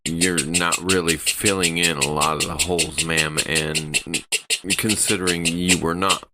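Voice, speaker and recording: A man playing a suspicious, gruff spaceship crewman in improvised sci-fi role-play, halting and stammering as he talks. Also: sits low, fairly even intonation, very noisy.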